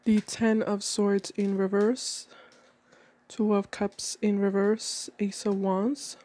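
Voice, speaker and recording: A woman's voice: medium pitch, little pitch movement, takes it slowly, warm tone. Woman with a cold, reading tarot. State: has a cold. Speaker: tarot reader. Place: indoors at a wooden table.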